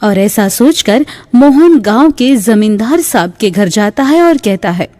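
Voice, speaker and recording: A woman's voice: a little high, animated, measured pace, a little mellow. A woman narrating a scripted moral tale for a cartoon in a storytelling style. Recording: long reverb.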